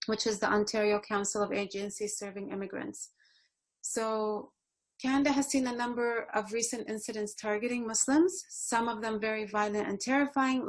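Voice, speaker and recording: A woman giving a prepared presentation at a panel in an earnest, measured way. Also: medium pitch. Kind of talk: prepared presentation, read speech.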